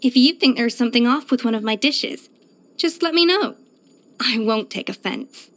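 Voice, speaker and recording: An actress voicing a proud, exacting young pastry chef in a video game, poised, self-assured and precise in manner. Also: female voice, on the high side, animated, moderate pace, a bit bright. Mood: poised, confident. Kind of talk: scripted video game character voice lines.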